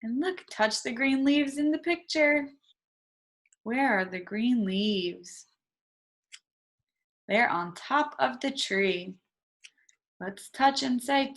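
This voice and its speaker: A woman's voice: on the high side, a bit bright. A woman teacher doing an interactive read-aloud for young children, in short simple sentences, prompting with questions and stressing key words. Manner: instructional, emphasising key words slowly for children.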